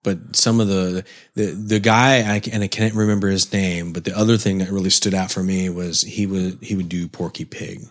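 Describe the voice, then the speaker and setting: A man's voice: sits low, steady tone, normal speed, hardly any pauses. A middle-aged man co-hosting a podcast in a laid-back, rambling casual chat, chuckling now and then. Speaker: podcast co-host.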